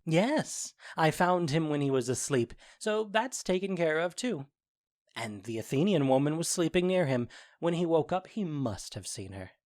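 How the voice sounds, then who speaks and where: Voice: mid-range voice, moderate pace, lightly acted. An actor playing a mischievous fairy servant in an audio drama, telling things with gleeful, boastful theatricality. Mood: pleased, obliging. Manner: animated, boastful storytelling.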